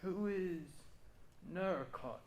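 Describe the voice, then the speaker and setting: A dreamy old general acted by a man, slightly high in pitch and halting, lost and distracted. It sounds like a stage recording with faint noise. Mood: dreamy, confused. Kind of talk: student stage play acting.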